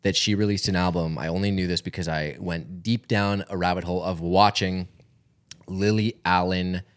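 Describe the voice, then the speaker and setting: A man's voice: sits low, steady tone, normal speed, clean tone. A man co-hosting a podcast, chatting casually and piecing stories together with some hesitation. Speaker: podcast co-host. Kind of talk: casual conversational podcast chat.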